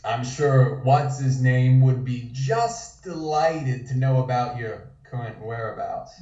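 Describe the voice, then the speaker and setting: A man playing an awkward, smooth-talking fellow on a night out in a period comic table read, speaking hesitantly. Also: medium pitch, mellow, lightly acted. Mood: teasing. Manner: hesitant, halting. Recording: roomy, dull, distant microphone.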